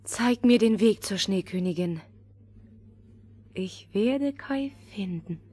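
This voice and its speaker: A woman's voice: mid-range voice, expressive, takes it slowly, a little mellow, breathy edge. A woman playing a brave, earnest little girl in a fairy-tale audio drama. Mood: pleading, determined. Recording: long reverb, faint background noise.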